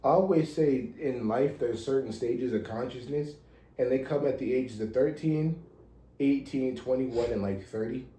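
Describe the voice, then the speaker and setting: A man's voice: mid-range voice, moderate pace, warm tone, reverberant, low-quality recording. An American man in his thirties chatting casually as a podcast co-host, blunt and slangy with some swearing. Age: 30s. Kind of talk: casual chat.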